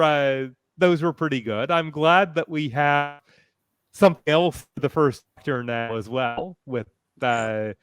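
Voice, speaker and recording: A man speaking as a panelist in casual discussion, halting as he thinks aloud. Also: on the high side, clear, resonant tone, no room sound, clean studio sound.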